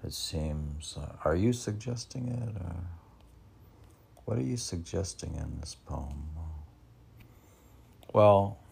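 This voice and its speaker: A man musing in a rambling podcast style as a poet, in a halting, gravelly, husky voice that often trails off. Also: low voice, animated, darkish tone, a little hiss or hum. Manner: halting, drawn-out delivery with trailing-off phrases. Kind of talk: rambling podcast musing, asking and answering himself.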